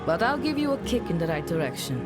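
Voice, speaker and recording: A woman's voice: fairly low pitch, very animated, fast. An actress playing a wary, blunt older village woman with a wry manner in a fantasy video game. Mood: wry, gruff. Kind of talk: dramatic video game acting. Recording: loud background music.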